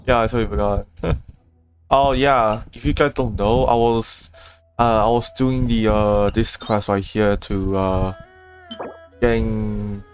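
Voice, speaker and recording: Casual male game streamer over music. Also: lowish voice. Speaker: game livestreamer. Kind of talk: casual live gameplay commentary. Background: video game music.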